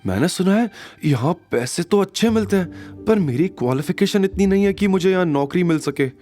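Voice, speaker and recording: Timid young woman, high and pleading, voiced by a male narrator in an audio drama. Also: lively intonation, a little fast, mellow, faint music behind. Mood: worried. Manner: hesitant, pleading. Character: anxious young woman, meek and unsure.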